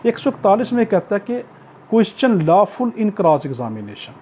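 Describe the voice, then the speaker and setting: A man's voice: high voice, sing-song, slow, dark tone, slight background noise. A man with a Pakistani accent giving an explanatory law lecture, didactic, repeating and rephrasing his points. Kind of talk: explanatory law lecture, reading out provisions then paraphrasing. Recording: dull, muffled lo-fi recording.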